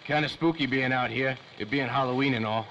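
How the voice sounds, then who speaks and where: A man's voice: mid-range voice, brisk, dull audio, noisy background, natural voice. A man playing a nervous, superstitious, deferential helper to a detective in a 1930s-style radio drama. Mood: uneasy. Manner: jittery, deferential.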